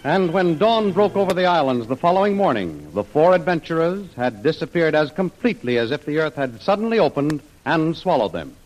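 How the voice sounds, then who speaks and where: A man narrating an old radio adventure serial in a measured, dramatic storytelling manner. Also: a little high, big pitch swings, soft background music, rough recording.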